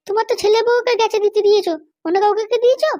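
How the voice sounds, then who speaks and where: A man voicing a bossy, short-tempered middle-aged cartoon wife with a West Bengal accent and a pitched-up voice. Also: fairly even intonation, rapid-fire, mellow, no echo, muffled recording. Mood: scolding. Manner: scolding, threatening. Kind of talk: comic cartoon dialogue.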